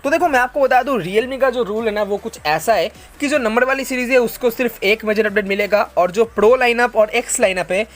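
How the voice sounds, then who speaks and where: An Indian tech channel host casually reads out and answers viewer questions, explaining things conversationally and mixing English tech terms into the talk. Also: medium pitch. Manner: conversational, explanatory, addresses listeners as bro and friends.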